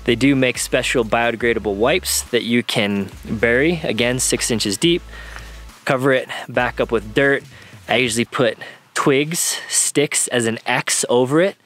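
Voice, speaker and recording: A man's voice: medium pitch, unhurried, fairly bright tone, faint music behind. A man in his thirties chatting casually as a camping vlogger, recorded on a camera held at arm's length. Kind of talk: casual vlog chat to camera. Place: inside a camper van and outdoors at a forest campsite.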